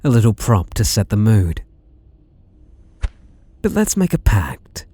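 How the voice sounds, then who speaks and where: A British man playing a possessive, flirtatious masked slasher in an intimate ASMR roleplay, teasing and softly menacing. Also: on the low side, huge pitch swings, husky, no echo, exaggerated voice. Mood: teasing menace. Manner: teasing, softly menacing, drawn-out delivery with sudden swoops.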